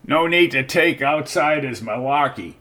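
A man's voice: on the high side, lively intonation, a little slow, sharp tone. A man reading the part of a sly, gossipy small-town official who speaks in a confiding, folksy way.

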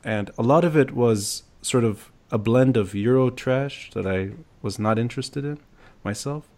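A man's voice: medium pitch, measured pace, a little mellow. A man from Lebanon hosting a conversational podcast interview, thoughtful and reflective, piecing his thoughts together as he goes. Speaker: podcast host.

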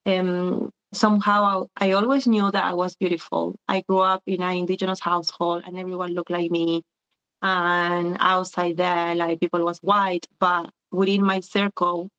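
A woman's voice: lowish voice, level tone, moderate pace, a bit bright, clear, resonant tone. A woman, speaking reflectively as an interview guest with many "eh" fillers. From Argentina. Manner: searching and reflective, with frequent "eh" fillers. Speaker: guest, community organizer. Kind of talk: conversational interview. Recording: dull lo-fi sound.

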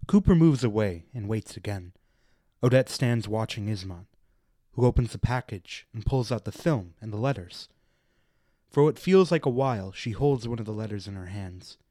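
A man narrating a radio drama, reading stage directions in a deliberate, literary storytelling manner. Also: fairly low pitch, lively intonation, dark tone, dry recording, clean studio sound.

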